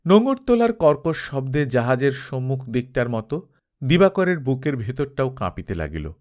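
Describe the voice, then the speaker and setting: A man narrating a classic novel as an audiobook, with solemn, expressive and measured phrasing. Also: mid-range voice. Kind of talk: scripted literary narration, dramatic storytelling.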